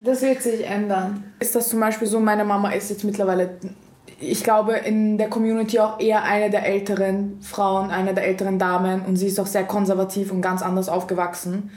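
A woman's voice: medium pitch, level tone, fairly quick, a little mellow, roomy sound. A woman in her twenties talking in an interview, thoughtful and searching for words, with restarts. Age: 20s. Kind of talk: casual interview talk.

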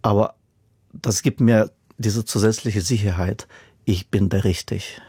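A man's voice: lowish voice, steady tone, a little slow, warm tone, husky, no room sound, studio quality. A man whose first language is not German, speaking as an author in a radio interview, thoughtful and given to repeating words for emphasis.

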